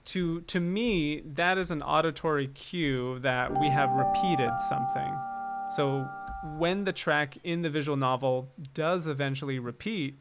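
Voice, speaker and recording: A man's voice: a little high, measured pace, hardly any pauses, over loud music. A man hosting a livestream on composing, thinking aloud in a casual, chatty, informal way. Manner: informal and chatty, hums snatches of melody. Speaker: composer hosting a livestream on writing game music.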